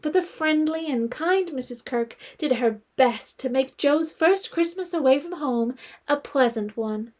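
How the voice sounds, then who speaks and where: Composed woman narrator, high voice, literary period storytelling for audio drama. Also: a little slow, darkish tone.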